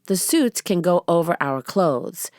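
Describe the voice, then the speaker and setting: A careful, bookish young boy from a storybook, read by a woman. He sounds sensible and measured, speaking slowly with expressive turns. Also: fairly low pitch, dry recording, studio quality.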